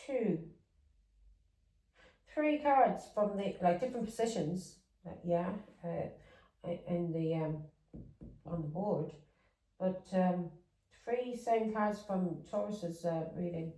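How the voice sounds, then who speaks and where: A woman's voice: fairly low pitch, takes it slowly, long pauses, mellow, reverberant, far from the mic, rough recording. A woman from England with an English accent with Irish touches, giving a casual tarot reading that rambles, repeats words and drifts into chatty asides. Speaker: tarot reader.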